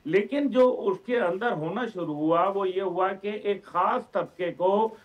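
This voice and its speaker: Male guest pundit, Pakistani accent, high-pitched, emphatic explainer, muffled low-fidelity sound. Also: a little slow. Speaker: guest commentator, organisation chairman. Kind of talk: television political commentary.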